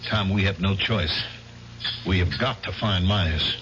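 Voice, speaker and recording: Bill, a determined veteran. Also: male voice, low-pitched, a little slow, noisy background. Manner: firm, urgent, clipped. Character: determined war veteran husband hunting a killer. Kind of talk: 1970s radio drama acting. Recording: dull 1970s radio broadcast, music behind.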